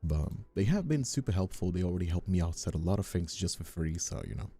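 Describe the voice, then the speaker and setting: A man with a very low, velvety dark voice talks off the cuff as a streamer. His pitch moves around a lot as he rambles through his thoughts for viewers, with soft music behind him. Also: expressive, fast talker, breathy edge. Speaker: streamer and ASMR voice actor. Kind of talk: casual livestream chat answering viewers.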